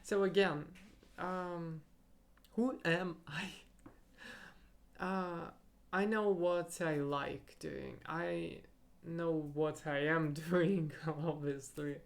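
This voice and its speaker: A young man whose first language is Russian, thinking aloud in a halting solo podcast monologue and searching for his words. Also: on the high side, fairly even intonation, creaky. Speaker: podcast host.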